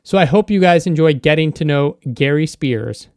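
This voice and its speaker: A man's voice: a little high, lively intonation, moderate pace, a little mellow, clean tone, no room sound, clean studio sound. A man hosting an interview podcast in a casual, conversational style.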